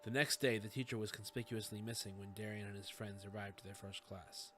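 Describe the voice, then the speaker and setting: Bright-voiced man narrating a story over music. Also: fairly low pitch, fairly even intonation, fairly quick. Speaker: audiobook narrator. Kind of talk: scripted fiction narration.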